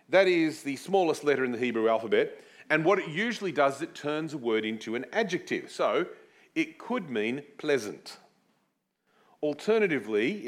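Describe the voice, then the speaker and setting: A male preacher gives a teaching sermon in a conversational, explaining style. His voice is lively, with wide swings in pitch and lifts on the words he stresses. He keeps it informal with asides that make light of himself. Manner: animated, swooping emphasis on key words; chatty, with self-deprecating asides. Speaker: preacher teaching a series on a Bible book. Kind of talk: sermon with Bible teaching and prayer.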